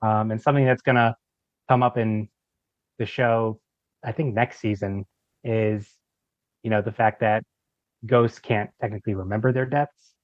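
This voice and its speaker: A man's voice: on the low side, fairly even intonation, normal speed, long pauses, dry recording. A man chatting casually as a podcast guest, informal and a little hesitant, with light amusement. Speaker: audio drama creator, podcast guest. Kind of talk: casual chat. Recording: slightly dull lo-fi microphone sound.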